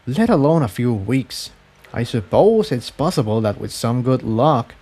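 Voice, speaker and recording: A man's voice: mid-range voice, a little mellow, lightly acted. A man voicing a serious, burdened male pony in a fantasy adventure story, earnest and brooding as he explains. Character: earnest, burdened male pony, former water department head.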